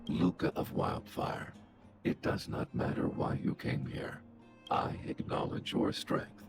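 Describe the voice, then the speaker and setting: An actor playing a huge, stern combat robot in a video game, speaking in a formal, measured, emotionless but respectful manner. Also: male voice, over music, natural voice. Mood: stern, acknowledging. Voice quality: very deep, gravelly. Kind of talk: video game character acting.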